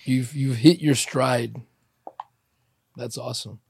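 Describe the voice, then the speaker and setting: A man from Ukraine hosting a casual interview, chatty and quick to interject with jokes. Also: medium pitch, steady tone, creaky, darkish tone, husky. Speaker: podcast host.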